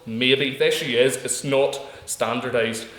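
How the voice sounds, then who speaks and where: A man's voice: mid-range voice, fairly even intonation, a little slow, fairly bright tone, a little hiss or hum. A young man with a Northern Irish accent giving an emphatic, combative speech at a student debate, firing off rhetorical questions. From Northern Ireland. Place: university debating chamber. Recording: echoey debating hall.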